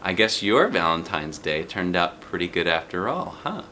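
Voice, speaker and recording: A man's voice: low voice, very animated, normal speed, pushed and bright, a little hiss or hum, heavily acted. A man voicing a patient, kindly but exasperated woman schoolteacher explaining things to a small child in a storybook. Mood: amused, kindly. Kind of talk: children's storybook read aloud.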